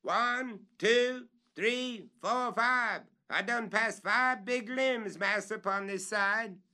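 Man doing an old servant hollering down, very high, thick dialect, storybook reading. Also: big pitch swings, normal speed, a bit bright. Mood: calling, wary. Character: old male servant calling down from a treetop.

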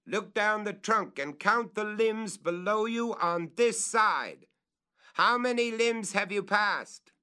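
A man voicing an excited treasure hunter who shouts orders up a tree in a raised, high voice. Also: slow, clear, resonant tone, full character voice. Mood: excited, commanding.

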